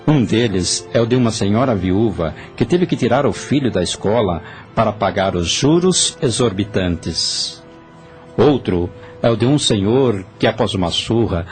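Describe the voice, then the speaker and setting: A man plays a dead Brazilian henchman with a fairly low voice, confessing in an audio drama. He sounds burdened by remorse. Soft music runs behind his words. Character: guilt-ridden dead male spirit, a former hired thug confessing.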